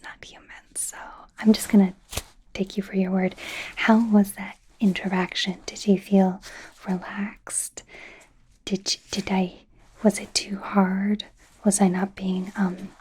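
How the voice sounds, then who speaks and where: A woman playing an anxious, apologetic young werewolf medical student in a soft, hesitant ASMR roleplay whisper. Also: mid-range voice, fairly even intonation, husky. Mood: nervous, self-doubting. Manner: hesitant, halting, nervous.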